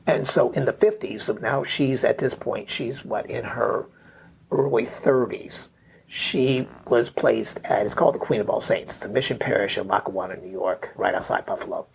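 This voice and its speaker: A man's voice: medium pitch, moderate pace, creaky, a little hiss or hum. A middle-aged man, a podcast guest, talking through a family story in a loose, rambling way, tagging his points with "right?" and "you know.". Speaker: podcast guest, memoir author. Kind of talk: conversational interview storytelling.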